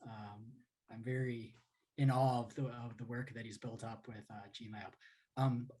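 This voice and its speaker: A man hosting an online session, introducing a guest speaker in a halting, casual way with many fillers. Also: medium pitch, little pitch movement, dark tone, a little hiss or hum, low-quality recording. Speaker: host.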